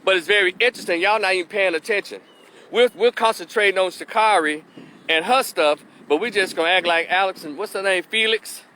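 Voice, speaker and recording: Emphatic man with a bright, tense voice. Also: high voice, a little fast, slight background noise, poor audio. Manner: preachy, emphatic repetition. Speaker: commentator hosting a live opinion stream. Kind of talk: casual opinion monologue.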